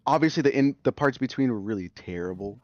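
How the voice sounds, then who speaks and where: A man's voice: mid-range voice, expressive, fast talker, highs cut off. A man chatting casually as a podcast guest, rambling with lots of fillers and restarts.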